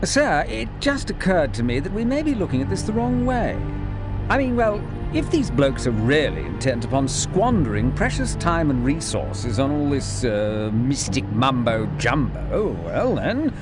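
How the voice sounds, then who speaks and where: Hesitant, higher-voiced British officer. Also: male voice, big pitch swings, unhurried. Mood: tentative. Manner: hesitant, polite. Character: hesitant, reasoning British male military officer. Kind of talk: dramatic video game cutscene acting. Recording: background music under the voice.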